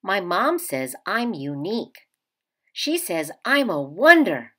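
A woman narrates a picture book for young children. She reads slowly and expressively, with a lot of rise and fall in her pitch. Her delivery is that of a storybook read-aloud. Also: very animated, a bit bright.

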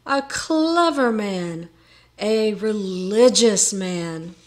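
A woman voicing an excitable, gullible older carpenter in a storybook tale, pious, proud and given to blustering exclamations. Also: fairly high pitch, a little slow.

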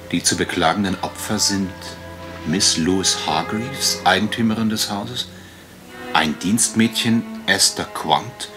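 A man acting a gallant, slightly bumbling private detective in a German radio crime drama, with theatrical, hesitant flourishes. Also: low voice. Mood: shaken.

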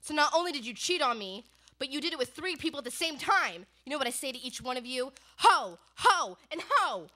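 A woman's voice: sits high, big pitch swings, fairly quick, pushed and bright, heavily acted. A young woman playing a scornful, betrayed Mrs. Claus in comic radio theater, melodramatically indignant. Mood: bitter, furious. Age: young adult. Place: small studio stage, indoors. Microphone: studio mic on stand.

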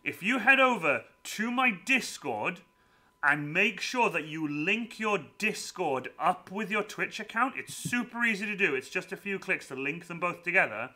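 A man hosts a live stream from home, chatting with viewers and a guest. His voice is very bright and swings widely in pitch, a little high for a man. Faint music plays under the talk. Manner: lively, chatty, quick asides to viewers. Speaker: streamer host. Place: home room.

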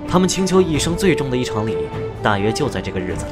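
An actor playing a chatty, teasing, genial immortal in an audio drama, with a playful, wry manner. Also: male voice, medium pitch, very animated, fast talker, close to an everyday voice. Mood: amused, wry. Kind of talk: Chinese audio drama acting. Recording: music behind the voice.

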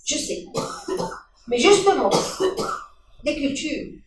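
A woman's voice: sits high, lively intonation, slow pace, slight background noise. A middle-aged woman speaking from the audience at a public debate, earnest and repeating key phrases, into a handheld mic. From Morocco. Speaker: audience member. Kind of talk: debate contribution. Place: crowded indoor meeting room. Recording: echoey room from far off.

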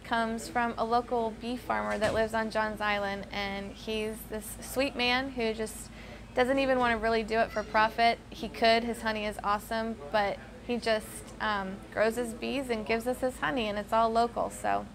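A woman talking casually in an interview, with a warm, easy manner and fillers. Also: on the high side, fairly even intonation, normal speed, vocal fry.

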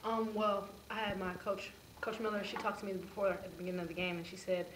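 A woman's voice: mid-range voice, little pitch movement, quick pace, echoey room, very noisy. A young woman college basketball player giving brief, modest answers at a press conference. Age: young adult.

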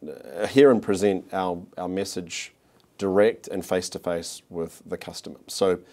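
A man in his forties speaks with a New Zealand accent in a very deep, low voice. He answers questions in a corporate interview, pausing with fillers and restarting his sentences often. He is recorded seated on a studio set with a clip-on mic. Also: steady tone, darkish tone. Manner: hesitant, many restarts and fillers. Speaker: marketing executive.

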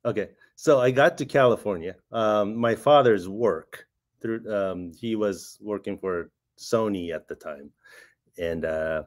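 A man in his fifties, born in Japan, speaks over a video call from a room at home. His voice sits a little low, and he talks in a soft, hesitant way, with small laughs between his thoughts. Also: unhurried. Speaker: guest artist. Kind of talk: casual conversational interview.

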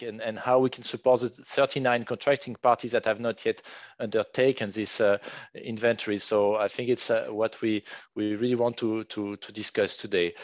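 A man in his forties with a strong French accent, moderating an online workshop over a headset on a video call, halting with many fillers and restarts. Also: mid-range voice, dry recording. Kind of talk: online meeting facilitation. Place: home room, virtual background. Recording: dull, dry video call audio.

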